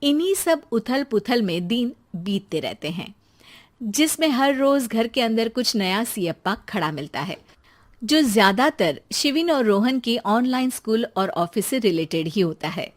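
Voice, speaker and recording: High-voiced woman narrating a children's story. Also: animated, a little slow.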